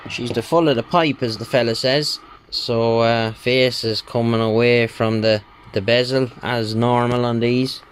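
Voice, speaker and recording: An Irish man talking casually through a repair job, thinking aloud in an unhurried, colloquial way. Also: mid-range voice, rough recording. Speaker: radio repair technician. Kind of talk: casual spoken bench walkthrough. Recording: steady background noise, bench clicks.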